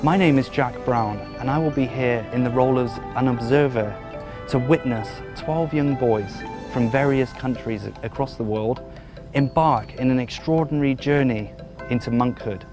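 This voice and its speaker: A man's voice: mid-range voice, over music. Formal male presenter outdoors. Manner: formal, measured, somewhat repetitive phrasing; jokes and chats cheerfully with children. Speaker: documentary presenter and observer. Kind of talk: presenting to camera. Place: outdoors at a rural forest monastery.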